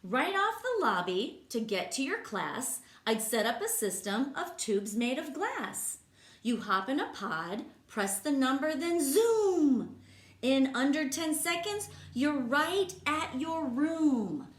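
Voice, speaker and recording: An imaginative young boy, voiced by a woman reading a storybook aloud, chants his dream-school rhymes in a high, swooping, sing-song voice. The delivery is slow and bouncy. It is heard in a room with a noticeable echo. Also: big pitch swings, exaggerated voice. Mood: excited. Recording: echoey room.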